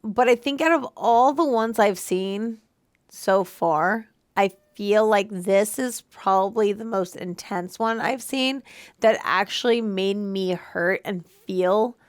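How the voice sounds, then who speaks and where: A woman at a middle pitch who gushes openly about what she felt, stacking phrases and repeating herself for emphasis. Her voice is recorded close and dry, with no room sound. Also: slow pace, clear, resonant tone, dry recording. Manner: emotional, gushing, repeats herself. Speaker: movie reaction co-host. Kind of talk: casual chat.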